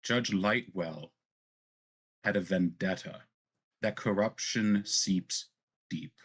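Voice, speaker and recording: Man voicing a slow, low-pitched frail elven noblewoman. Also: a bit bright, breathy edge, heavily acted. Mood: earnest, reassuring. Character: frail elven noblewoman, earnest, quietly indignant and tender. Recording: music behind the voice.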